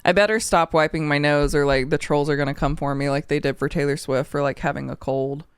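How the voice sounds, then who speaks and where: A woman's voice: low-pitched, steady tone, fairly quick, clear, resonant tone, soft background music. A woman with a sniffly cold, reviewing an album in a candid, emotional, casual way and swearing now and then. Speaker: music reaction channel host.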